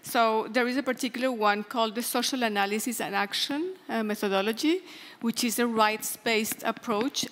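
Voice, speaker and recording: Higher-voiced woman in a big reverberant hall. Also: fairly bright tone. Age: middle-aged. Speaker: conference panelist. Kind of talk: explanatory panel talk. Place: large conference hall stage. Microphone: headset. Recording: long hall reverb from auditorium sound system.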